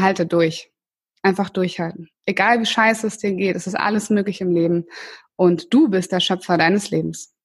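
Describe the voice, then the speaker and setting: A woman's voice: mid-range voice, slightly rough. A woman from northern Germany, a career coach talking enthusiastically as a podcast guest, with lots of fillers and restarts. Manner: warm, enthusiastic, chatty with many fillers. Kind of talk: casual interview talk.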